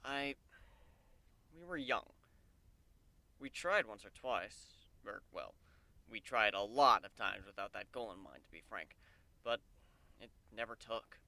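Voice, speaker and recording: A man playing an earnest, devoted male pegasus guard in a story reading, gentle and hesitant in manner. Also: lively intonation, a little slow, vocal fry, long pauses, no echo, heavily acted. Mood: sombre, hesitant. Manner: hesitant, low-key, tender.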